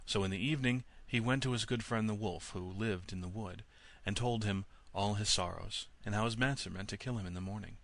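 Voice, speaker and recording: A man reading a scripted fairy tale as an audiobook narrator, in an expressive, measured storytelling manner. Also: on the low side.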